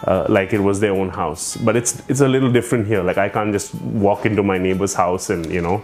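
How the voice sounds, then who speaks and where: Man, Indian accent, low pitch, casual interview account, faint music underneath. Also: brisk, darkish tone.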